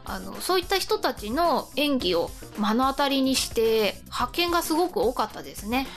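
A woman's voice: high voice, very animated, moderate pace, a bit bright, faint music behind. A woman hosting a casual radio chat, often laughing as she talks. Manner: giggly, often laughing while talking. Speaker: radio show host.

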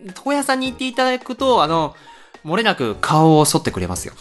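A man's voice: high-pitched, huge pitch swings, brisk, faint music behind. A man co-hosting a casual radio chat, talking in a polite, self-deprecating and joking way. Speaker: semi-regular radio co-host.

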